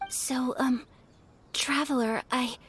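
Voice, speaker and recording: A woman playing a refined, earnest young noblewoman in a video game, gentle and reserved, with a polite, measured, soft-spoken delivery. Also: high voice, fairly even intonation, a little hiss or hum. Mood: nervous, hesitant. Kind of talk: English video game voice acting. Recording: studio voice over background music.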